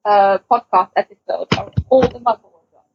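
A woman's voice: medium pitch, brisk, creaky, slightly rough, dry recording. A woman talking casually as a co-host on a podcast. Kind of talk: casual chat. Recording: dull lo-fi, close dry sound.

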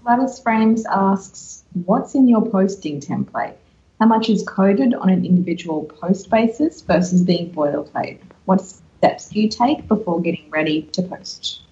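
A woman's voice: mid-range voice, animated, measured pace, mellow. Woman podcaster, slightly dull audio. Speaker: podcast co-host. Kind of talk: casual panel chat.

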